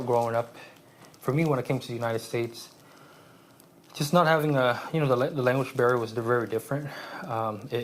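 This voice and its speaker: Halting soft-spoken man, faint hiss. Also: medium pitch. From Vietnam, raised in San Jose. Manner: halting, many restarts and fillers. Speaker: guest, aspiring therapist. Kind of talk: reflective interview talk.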